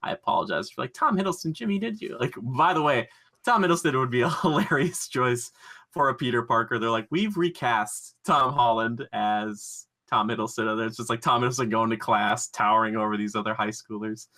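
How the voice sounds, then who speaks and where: Male podcast host, bright and punchy, excitable, rambling, gushing, conversational. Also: medium pitch, brisk. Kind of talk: casual enthusiastic pop culture podcast chat.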